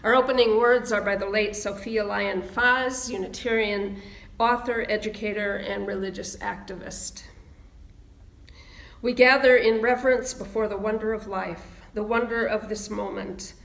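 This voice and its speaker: A woman minister welcoming people to an online service and reading opening words slowly and reverently. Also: medium pitch, steady tone, vocal fry, a bit bright, echoey room.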